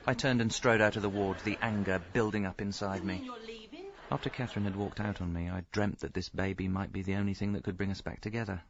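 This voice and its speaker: A man's voice: on the low side, steady tone, fast, lo-fi sound, crowd noise, natural voice. An actor with a British accent playing a hapless, pleading husband and father who narrates his own mishaps in a radio comedy drama, stammering and earnest. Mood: wry narration.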